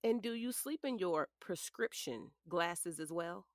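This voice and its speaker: Female defense lawyer, played by an actress, shrewd and pointed, expressive pitch, dry studio sound, courtroom drama. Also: a little slow, dry recording. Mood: probing, sly. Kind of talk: audio drama acting, courtroom questioning.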